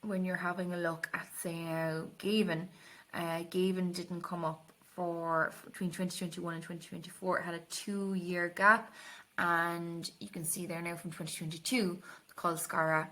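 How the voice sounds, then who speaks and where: A woman's voice: on the low side, sharp tone. A woman with an Irish accent teaching an exam revision tutorial, explaining informally and hesitantly, with Irish-language terms mixed in.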